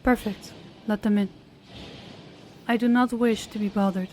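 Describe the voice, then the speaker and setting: A woman's voice: medium pitch, fairly even intonation, a little slow, mellow, a little hiss or hum, close to an everyday voice. Woman playing a cold, commanding female crime boss. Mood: cool, businesslike. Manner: formal, controlled, commanding. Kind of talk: audio roleplay acting.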